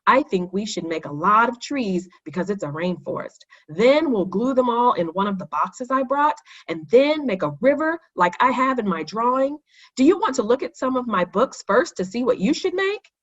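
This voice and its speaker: A woman's voice: fairly high pitch, sing-song, normal speed, muffled recording, voice pushed up. A woman voicing an eager, bossy schoolboy with an emphatic, take-charge manner in a children's storybook.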